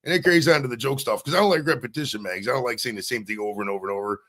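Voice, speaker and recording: A man's voice: medium pitch, racing, a bit bright. Profane rambling male podcast host. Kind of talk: casual interview chat.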